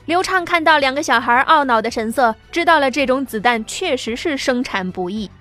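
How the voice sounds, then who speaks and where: A woman reading a science fiction audiobook with steady, dramatic storytelling. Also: high-pitched, fairly quick, faint music behind. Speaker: audiobook narrator. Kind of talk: scripted narration.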